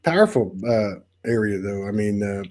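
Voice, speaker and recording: A man from Texas with a Texan accent, hosting a casual spiritual interview over a video call and talking in halting, rambling runs full of restarts. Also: fairly low pitch, animated, a little mellow. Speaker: podcast host.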